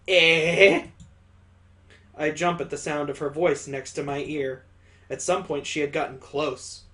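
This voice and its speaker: A man reading a visual novel aloud as a let's player, with expressive, dramatic narration. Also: on the high side, normal speed.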